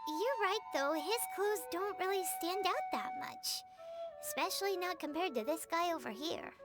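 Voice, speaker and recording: A woman's voice: very high-pitched, sing-song, normal speed, loud music behind the voice. A woman voicing a tiny, childlike fairy companion in a video game, eager, blunt and chirpy. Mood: chatty, curious. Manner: refers to self in third person, perky.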